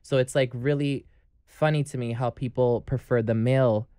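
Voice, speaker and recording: A man co-hosting a podcast, asking long, chatty questions in casual conversation, recorded on a studio boom mic in a small podcast studio. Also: steady tone, normal speed, dark tone, smooth, no echo. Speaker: podcast co-host. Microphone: studio microphone on boom arm.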